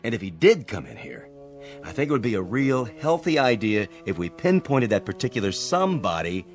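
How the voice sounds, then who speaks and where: Earnest, expressive American police officer. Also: male voice, on the low side. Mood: grave, deliberate. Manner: measured, reasonable. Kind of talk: radio drama acting. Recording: music bed under the dialogue.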